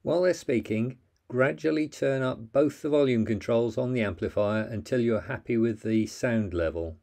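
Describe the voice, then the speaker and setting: A man's voice: medium pitch, normal speed, creaky, warm tone. A man narrating a plain, methodical how-to voice-over, giving step-by-step instructions with the odd small slip.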